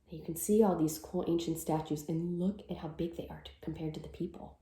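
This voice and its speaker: A woman's voice: sits low, fairly even intonation, brisk, dark tone, reverberant. A woman teacher reading a picture book aloud to children, with sing-song verses and simple explanations.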